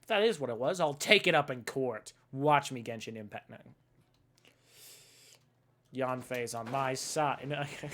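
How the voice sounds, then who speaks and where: A man giving casual, jokey gameplay commentary as a streamer, goofy and excitable, mimicking characters as he goes. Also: mid-range voice, a little slow, pauses often. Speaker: game streamer.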